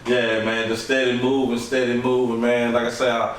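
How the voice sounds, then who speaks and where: A man's voice: medium pitch, little pitch movement, moderate pace. A man hosting a casual interview, friendly and loose, dropping "man" and fillers into almost every line. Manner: friendly, filler-heavy, says "man" constantly. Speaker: podcast host. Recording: echoey room, mic far off, faint hiss.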